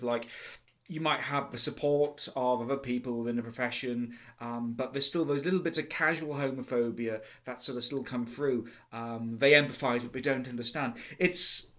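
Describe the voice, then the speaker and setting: A man's voice: medium pitch, fairly bright tone. Enthusiastic Welsh man reviewing a play. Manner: enthusiastic, rambling with many fillers and restarts. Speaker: theatre reviewer. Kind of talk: casual spoken review.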